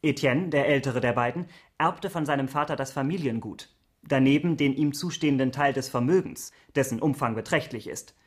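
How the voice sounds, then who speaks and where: A man's voice: medium pitch, brisk, lightly acted. Radio drama investigator, played by a man: mid-range male voice, earnest, polite, formal, persistent. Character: dutiful, courteous, persistent male police investigator. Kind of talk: German radio crime drama acting.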